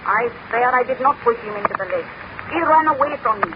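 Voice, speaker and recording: A woman's voice: fairly high pitch, lively intonation, a little fast, lightly acted. An actress playing a proud, desperate servant girl protesting her innocence, in declamatory 1930s radio drama style. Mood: desperate denial. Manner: emphatic, declamatory pleading. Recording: noisy, dull 1930s radio recording.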